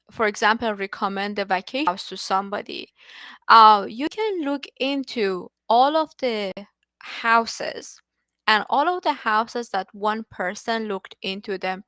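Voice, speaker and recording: A woman's voice: mid-range voice, sharp tone, clear, resonant tone, no room sound. A woman whose first language is Persian, presenting an online technical lecture in an explanatory way, with frequent restarts and filler words. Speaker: study group presenter.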